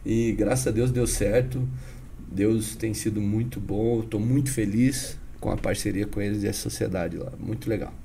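This is a chatty man in his thirties with a dark, mellow tone and a Paraná interior Brazilian Portuguese accent. He tells stories as a podcast guest with an animated, informal delivery, full of "cara" and "né" tags. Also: on the low side, moderate pace, creaky, slight background noise. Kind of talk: casual storytelling interview.

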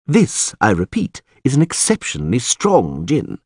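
A man's voice: medium pitch, wildly swooping pitch, a little slow, a little mellow, slightly rough, no echo, clean studio sound, full character voice. A man voicing a sardonic, know-it-all bald male priest who lectures the people around him with scorn. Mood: scornful.

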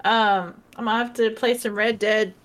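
A woman's voice: on the high side, fairly even intonation, moderate pace. A woman co-hosting a podcast in casual chat, rambling with lots of fillers and giggles, tipsy and socially drained. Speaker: podcast co-host.

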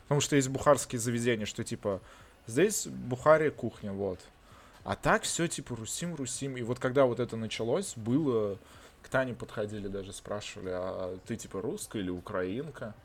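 A man's voice: mid-range voice, brisk, slightly rough. A man from Russia in casual chat, talking bluntly and swearing often. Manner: swears a lot, animated and blunt. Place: lives in Israel.

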